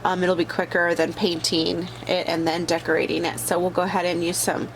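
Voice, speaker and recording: A woman's voice: fairly low pitch, flat delivery, a little fast, slight background noise, low-quality recording. A woman with a bad cold hosting a casual crafting tutorial, talking along chattily with many fillers as she works. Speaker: crafting channel host.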